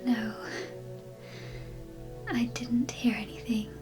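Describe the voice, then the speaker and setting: A woman's voice: on the high side, little pitch movement, takes it slowly, over music. A woman from Australia voicing a cheeky, easily offended brain-eating zombie doctor in a hesitant, rambling ASMR roleplay. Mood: reassuring, cheeky. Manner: hesitant, rambling, defensive asides.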